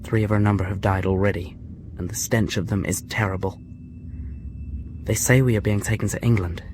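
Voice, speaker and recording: A man's voice: fairly low pitch, steady tone, brisk, lightly acted. A man acting an earnest, stubborn seventeen-year-old colonial son going to war in a radio drama, speaking insistently. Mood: grim, reflective. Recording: clearly noisy scene ambience.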